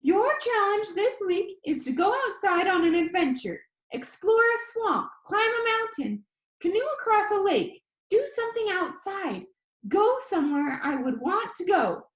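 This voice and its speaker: Woman voicing a squeaky, cocky teenage boy. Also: very animated, echoey room, heavily acted. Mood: urging, enthusiastic. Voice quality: high, squeaky. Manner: quick, heavily inflected, playful. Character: cheerful, cocky, joking sixteen-year-old boy video host. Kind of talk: online video host patter within audiobook.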